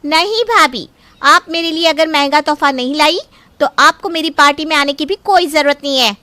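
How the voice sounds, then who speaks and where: A woman's voice: high voice, normal speed, pushed and bright, no room sound. A woman voicing a spoiled, entitled young sister-in-law in an audio story, pushy and wheedling. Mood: demanding, pouty. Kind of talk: dramatised Hindi story acting.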